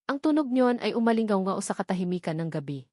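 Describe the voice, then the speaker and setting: A woman's voice: medium pitch, sing-song, fast, a little mellow, no room sound. Woman narrating a dramatic story, dry sound. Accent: Filipino. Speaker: audiobook narrator.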